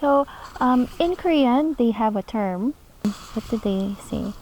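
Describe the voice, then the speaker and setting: A woman's voice: a little high, animated, a little slow, darkish tone. A woman hosting a travel vlog, chatting casually and mixing the odd Korean word into her English. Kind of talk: casual chat on camera. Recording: noisy outdoor location sound.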